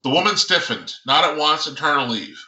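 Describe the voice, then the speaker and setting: A man reads his own science fiction novel aloud in dramatic narration. His voice sits a little high and is very sharp and forceful, with an echoing room around it. He occasionally trips on a word. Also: expressive, brisk. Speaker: author reading own book. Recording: roomy, echoing sound.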